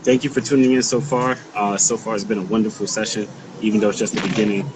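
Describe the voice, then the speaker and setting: A man's voice: on the low side, level tone, fast. A man hosting a casual livestream chat over a video call, laid-back, laughing often and repeating his words. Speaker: host. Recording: noisy video call audio.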